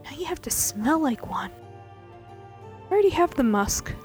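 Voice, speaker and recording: A woman's voice: sits high, very animated, unhurried, faint music behind, pitched above the performer's own voice. Woman voicing a cursing male pirate enforcer. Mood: scornful. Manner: gruff, cursing, shouting threats. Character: stern, foul-mouthed male pirate enforcer.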